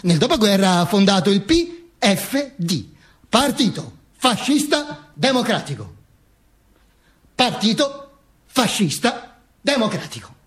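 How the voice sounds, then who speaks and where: High-voiced theatrical dead dictator storyteller. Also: male voice. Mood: mock-solemn storytelling. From Italy. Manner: stammering, self-correcting, repetitive, slipping into slow declamation. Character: dead Benito Mussolini narrating from the grave, rambling and mock-heroic. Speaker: stage actor performing a monologue.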